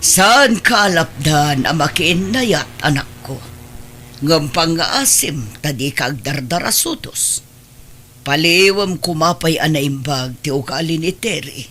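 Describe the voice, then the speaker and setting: A woman's voice: sits low, expressive, takes it slowly, a bit bright, a little hiss or hum, heavily acted. A woman playing a stern, distrustful middle-aged mother in an audio drama, admonishing her grown son emphatically. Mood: worried, advising.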